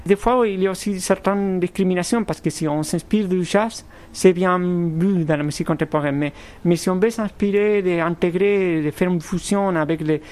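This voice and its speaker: A man from Argentina with a fairly high voice speaks as a composer in an interview. He picks his words slowly, with false starts and repeated words. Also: a little mellow. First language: Spanish. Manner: thoughtful, halting, many restarts. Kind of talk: radio interview.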